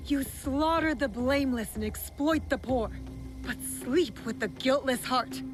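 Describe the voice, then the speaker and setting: A woman's voice: high-pitched, unhurried, over music. A woman playing a stern, principled young shinobi in a video game cutscene, earnest and reproachful.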